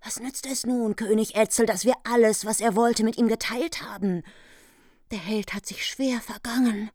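A woman's voice: a little high, steady tone, normal speed, darkish tone, no room sound, clean studio sound, natural voice. An actress playing a vengeful, bitter queen who gives orders coldly in a radio play. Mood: indignant. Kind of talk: radio drama acting.

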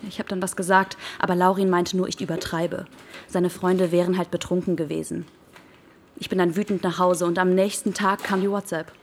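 A woman's voice: on the low side, level tone, fairly quick, a little hiss or hum, close to an everyday voice. An actress playing a hurt young ex-girlfriend in a radio drama, talking in a terse, dry, matter-of-fact way. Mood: bitter, matter-of-fact. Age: young adult. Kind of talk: naturalistic radio drama dialogue.

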